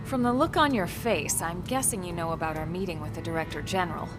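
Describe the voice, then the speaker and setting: A woman's voice: lowish voice, fast, over music, natural voice. A woman playing a dryly wry, decisive squad leader in a video game, speaking with controlled composure. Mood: composed, decisive. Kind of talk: video game cutscene acting.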